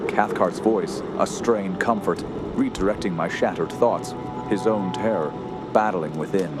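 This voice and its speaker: A man's voice: deep voice, unhurried. A man playing a haunted young nephew who narrates a horror radio play in a grave, ornate, ominous manner. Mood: weary, somber. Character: dread-stricken young nephew recounting a horror. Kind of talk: scripted first-person horror narration. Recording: loud music bed under voice.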